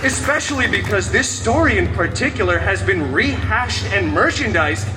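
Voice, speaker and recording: A man's voice: high-pitched, animated, normal speed, background noise. A man playing a chatty, childish, wisecracking antihero who tells stories in a gushing, teasing, mock-dramatic way. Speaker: storyteller and host of a live holiday show. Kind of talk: theatrical comic storytelling. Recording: echoey, distant live show with background music.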